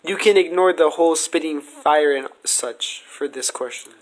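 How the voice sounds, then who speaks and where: A man's voice: a little high, moderate pace, rough recording. A man hosting a podcast, asking questions in a casual interview style.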